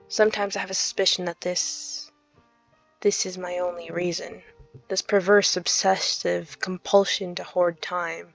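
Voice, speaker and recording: A woman voicing a weary, centuries-old demon slowly reading out his despairing journal in a visual novel. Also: on the low side, creaky, a bit bright, rough recording. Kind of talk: dramatic reading of journal entries.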